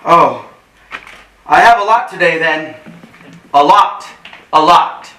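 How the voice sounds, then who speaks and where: An actor in a staged reading playing an awkward, deadpan, quietly flirtatious newcomer, halting and dryly joking. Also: male voice, a little high, full character voice. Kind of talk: staged play reading, naturalistic dialogue. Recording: roomy, distant mic with faint noise.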